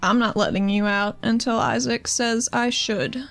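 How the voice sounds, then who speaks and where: A woman's voice: fairly high pitch, normal speed, gravelly, fairly bright tone. A woman voicing a fierce heroine who protects her city in a tabletop game, firm and threatening. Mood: defiant. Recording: music behind the voice.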